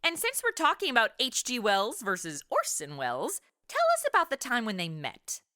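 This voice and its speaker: Bright, animated woman podcast host. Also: on the high side, moderate pace. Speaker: podcast host interviewing a guest. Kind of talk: scripted conversational interview questions.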